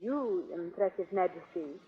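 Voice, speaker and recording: An actress playing a devoted young adventure heroine in a dramatic 1930s radio serial style. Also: female voice, fairly low pitch, animated, measured pace, slightly rough, full character voice. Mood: flattering, coy. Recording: tinny, muffled 1930s radio recording.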